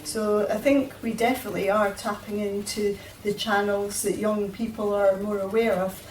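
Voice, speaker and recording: A woman talks with lively enthusiasm on a roundtable panel, building her points through anecdotes. She sounds distant, in a reverberant room. A faint hum of noise sits underneath. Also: mid-range voice, moderate pace. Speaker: panelist promoting salmon. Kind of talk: roundtable discussion. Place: event room. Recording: distant mic in an echoey room.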